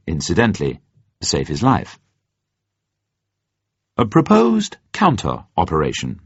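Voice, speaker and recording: A low-voiced man narrates scripted drama with measured, formal phrasing. His pitch swings expressively from phrase to phrase. The audio is dull and slightly muffled. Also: slightly rough, no room sound.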